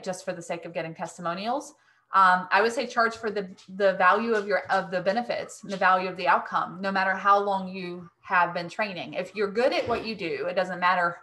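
A woman's voice: lowish voice, sharp tone. A woman in her thirties, a business coach casually answering questions as host of an online webinar.

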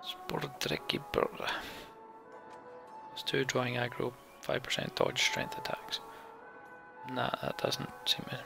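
A man's voice: medium pitch, monotone, takes it slowly, creaky, pauses often, whispered, over music. A man doing let's play commentary on a game, reading text aloud and talking through choices.

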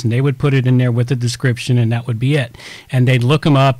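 A man's voice: medium pitch, dry recording. A man hosting a tech podcast, explaining things casually in a rambling way with many restarts and everyday examples. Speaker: podcast host.

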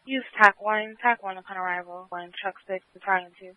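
A female fire dispatcher makes formal, procedural announcements at a middling pitch with hardly any inflection. She comes through a thin, phone-like radio channel. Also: steady tone, fairly quick, no echo. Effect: two-way radio. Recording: phone-like narrow-band radio transmission.

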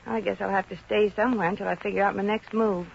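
A plucky, wisecracking showgirl, played by a radio actress, brassy and wry, with a medium-pitched voice. She talks in slang in a 1950s radio comedy. The old recording is dull and has clear noise. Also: fairly even intonation, fast talker, natural voice. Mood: sassy.

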